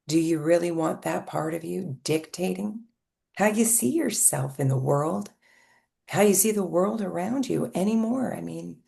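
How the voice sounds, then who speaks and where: Low, gravelly, soothing woman guide. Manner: slow, soft and soothing, with gentle pauses. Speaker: hypnotherapist guiding a session. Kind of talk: guided hypnosis session.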